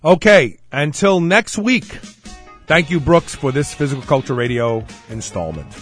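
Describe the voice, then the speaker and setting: A man's voice: a little high, animated, normal speed, breathy edge, soft background music. Upbeat man in his fifties hosting. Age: 50s. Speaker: radio show host. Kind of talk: casual radio show chat.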